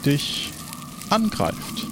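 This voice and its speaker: A man improvising as game master of a role-playing game, narrating haltingly and searching for words. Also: background noise.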